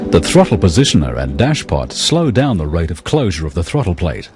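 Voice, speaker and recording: A man reading scripted technical narration for an educational film in a measured, explanatory, impersonal manner. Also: sits low, sing-song, darkish tone, soft background music.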